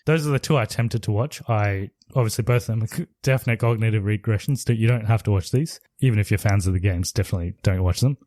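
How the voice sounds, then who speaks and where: A man's voice: on the low side, quick pace, few pauses, a little mellow, no echo, studio quality. A man co-hosting a podcast, casually retelling a movie plot in a loose, rambling way with restarts. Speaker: podcast co-host. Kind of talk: casual chat reviewing movies.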